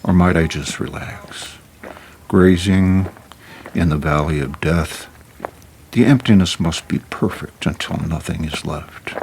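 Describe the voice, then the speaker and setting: A man reading spoken-word poetry in a solemn, measured, deliberate way, recorded in a studio. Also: low voice, a little mellow, slight background noise. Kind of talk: dramatic poetry recitation. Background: music.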